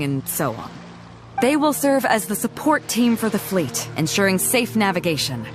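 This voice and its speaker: A woman's voice: medium pitch, lightly acted. An actress voicing a bold, confident female pirate captain in a game cutscene, hearty and commanding with a teasing edge. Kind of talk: video game cutscene dialogue.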